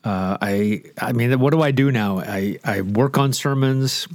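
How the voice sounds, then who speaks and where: A man's voice: mid-range voice, measured pace, no echo. A congested, stuffy-nosed man hosting a radio show as a pastor, rambling and joking at his own expense. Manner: rambling, joking, self-deprecating. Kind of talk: casual off-the-cuff radio chat.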